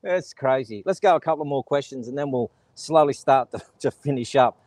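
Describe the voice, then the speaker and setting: A man's voice: mid-range voice, brisk, a little mellow, dry recording. A man with an Australian accent hosting a casual conversation, joking, laughing often and reading out viewer comments. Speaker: host. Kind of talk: casual chat.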